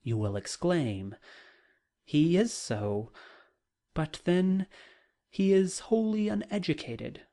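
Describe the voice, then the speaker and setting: A narrator reading a classic novel aloud in a measured, formal way, as scripted audiobook narration. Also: mid-range voice, expressive, dark tone.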